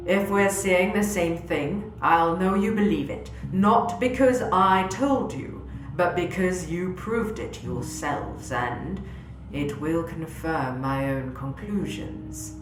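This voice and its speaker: A woman playing a grave military commander in a sci-fi audio drama, measured, deliberate and authoritative. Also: fairly low pitch. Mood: firm, resolute. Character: grave female military commander sharing secret doubts. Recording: roomy echo under prominent music.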